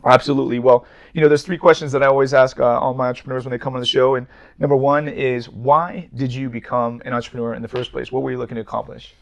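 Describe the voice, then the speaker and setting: A man speaks as a podcast host in a loose, conversational interview style. His pitch sits in the middle of the male range and his delivery is fast, with informal, slangy phrasing. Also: little pitch movement, creaky, lo-fi sound.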